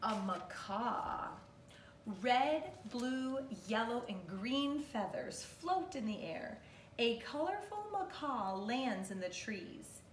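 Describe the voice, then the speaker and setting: Slow-paced woman reading aloud in a roomy space. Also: fairly high pitch. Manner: expressive, instructive, asks and answers questions. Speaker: kindergarten teacher. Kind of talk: read-aloud to young children with questions. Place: living room at home, indoors. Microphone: camera or phone a few metres away. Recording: roomy, distant mic, faint noise.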